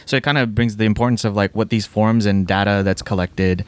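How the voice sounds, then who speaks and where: Casual man with a lowish voice. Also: steady tone, clear, resonant tone. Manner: casual, quick, with "uh" fillers. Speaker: podcast guest. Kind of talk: casual panel chat.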